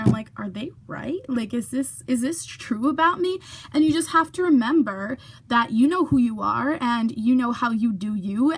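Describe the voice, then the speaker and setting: A young woman chatting casually to her camera in a vlog, full of fillers, sometimes dropping to a whisper for asides. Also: on the high side. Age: young adult. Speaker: YouTuber.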